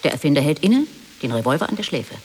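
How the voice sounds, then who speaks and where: A man's voice: fairly high pitch, very animated, quick pace, slight background noise. A man playing a matter-of-fact narrator who reads stage directions in a radio play.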